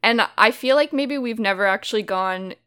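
A woman's voice: fairly high pitch, moderate pace, fairly bright tone, smooth. A woman co-hosting a film podcast in casual chat, gushing and giggly, full of likes and ums. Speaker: podcast co-host.

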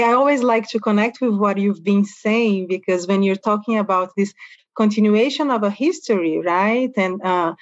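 A woman's voice: mid-range voice, dry recording, muffled recording. A woman interviewing an artist, asking long, musing questions with some fillers. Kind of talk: conversational interview.

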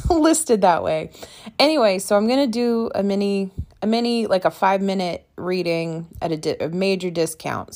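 A woman hosting her own podcast in a casual, rambling way, her voice raspy from allergies. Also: fairly low pitch. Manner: rambling, chatty, with frequent fillers and self-interruptions. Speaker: podcast host and tarot reader. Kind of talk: casual solo podcast rant.

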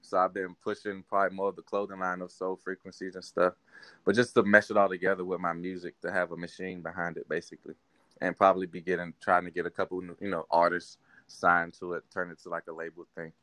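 A man's voice: deep voice, fast talker, no room sound. A man in his thirties from Washington, D.C., a hip hop artist talking casually as a podcast guest. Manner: relaxed, rambling, many fillers. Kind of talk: casual interview chat.

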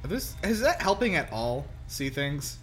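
A man's voice: on the high side, very animated, normal speed. A man chatting casually and jokingly as he comments on a film as co-host. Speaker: podcast co-host. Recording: loud film soundtrack playing behind. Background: film music and dialogue.

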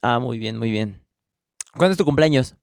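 A man's voice: medium pitch, animated, moderate pace, no room sound, clean studio sound. A man with a Mexican accent hosting a podcast, asking questions in a loose, chatty way. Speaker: podcast host. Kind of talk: casual interview chat.

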